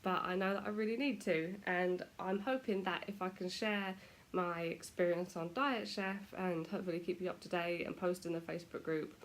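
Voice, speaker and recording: A woman's voice: fairly low pitch, steady tone, normal speed, hardly any pauses, rough recording. A British woman chatting casually to camera at home, self-deprecating, her nose stuffy from a cold. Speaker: vlogger.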